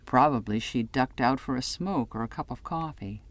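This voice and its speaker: A woman reading scripted first-person literary fiction as an audiobook narrator, with measured, dramatic phrasing. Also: rumbling low.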